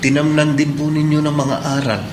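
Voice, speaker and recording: A man's voice: fairly high pitch, little pitch movement, a little fast, close to an everyday voice. A man playing a respectful, earnest young police cadet in a Philippine radio drama, speaking politely with a Filipino accent. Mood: earnest, heartfelt. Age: young adult. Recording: echoey room, clear background noise.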